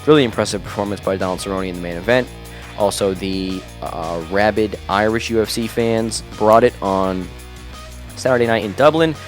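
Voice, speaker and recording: A man's voice: fairly low pitch, normal speed, soft background music. Nasal, chatty male podcast host. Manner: chatty, enthusiastic, many fillers. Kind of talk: casual solo podcast talk. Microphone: home podcast mic through a mixer.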